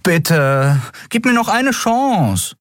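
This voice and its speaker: A man's voice: fairly low pitch, measured pace, breathy edge, no echo, studio quality, pitched above the performer's own voice. A man voicing an earnest, easily discouraged young man in an audiobook, plaintive and hesitant. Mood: pleading. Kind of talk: audiobook character voice.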